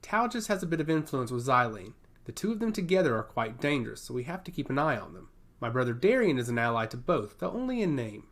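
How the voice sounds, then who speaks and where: A man performs a male god of chaos from a fantasy audio story. He is courteous and grave, speaking formally and bluntly with animated pitch. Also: quick pace. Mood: grave warning.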